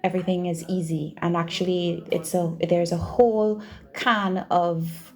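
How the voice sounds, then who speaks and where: A Trinidadian woman speaks as an interview guest in a moderately low register. Her delivery is conversational and emphatic, restating phrases to drive a point home. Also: fairly even intonation, unhurried, a little mellow. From Trinidad and Tobago. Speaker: podcast guest, doctoral researcher and university teacher.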